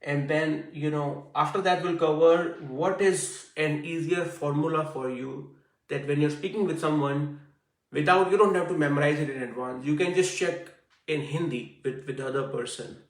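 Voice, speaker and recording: A man's voice: a little high, normal speed, reverberant, distant mic, poor audio. A man teaching a language class online, talking conversationally with many fillers. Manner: conversational, frequent fillers and "I see". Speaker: Hindi teacher.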